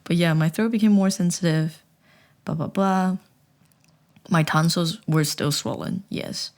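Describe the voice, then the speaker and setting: A woman's voice: fairly low pitch, measured pace, darkish tone. A young woman vlogging in a casual, chatty way, telling a story with self-mocking humor and many restarts.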